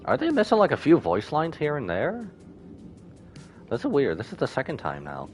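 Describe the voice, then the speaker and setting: A man streaming a game, giving casual, expressive live reactions in slangy speech. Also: medium pitch, moderate pace, soft background music.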